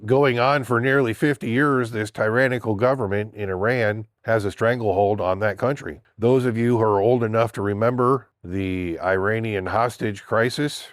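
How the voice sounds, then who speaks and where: A man's voice: fairly low pitch, steady tone, normal speed, few pauses, no echo, clean studio sound. An older man with a stuffy, congested voice from a cold, giving a blunt, indignant opinion monologue in a casual vlog. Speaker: political vlogger.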